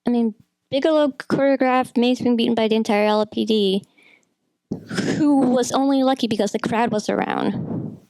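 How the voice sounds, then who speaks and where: A woman with a fairly high voice and a noticeable creak delivers film commentary. She is opinionated and conversational, often wandering off into asides. The sound is dry and close. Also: darkish tone, no echo. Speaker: video essayist, film critic. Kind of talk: informal film critique commentary, partly scripted.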